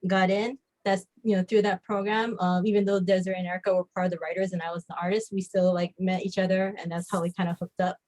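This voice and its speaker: A woman's voice: fairly low pitch, flat delivery, quick pace, hardly any pauses, darkish tone. An American woman from Hawaii casually telling her own story in an interview, informal and often saying "like". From Hawaii, United States. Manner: informal, frequent "like". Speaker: comic book artist, podcast guest.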